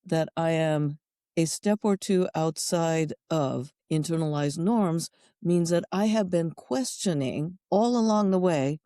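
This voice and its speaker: A woman's voice: low voice, slow pace, dark tone, no echo, clean studio sound. A middle-aged woman from Japan with a Japanese accent, speaking as an interview guest with wry, thoughtful, list-like phrasing. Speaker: guest sexologist and educator. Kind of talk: conversational interview answers.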